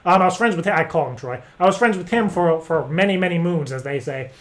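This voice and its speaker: A man's voice: on the high side, animated, fast talker. Hesitant, rambling male prank caller. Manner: hesitant, rambling, feigned earnestness. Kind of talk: improvised phone prank chat.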